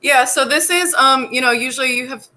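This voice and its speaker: Bright-voiced woman rambling casually on a video call, you know what i mean style. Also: high voice, a little fast. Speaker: guest artist. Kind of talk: casual chat.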